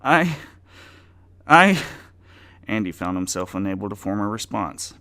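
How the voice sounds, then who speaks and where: Male narrator, deep pitch, dramatic story reading, scripted prose. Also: flat delivery, brisk, clean tone.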